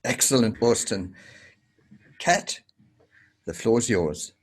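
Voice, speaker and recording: Slow, lively-voiced male host. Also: fairly low pitch. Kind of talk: hosting an online speakers meeting. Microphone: video call.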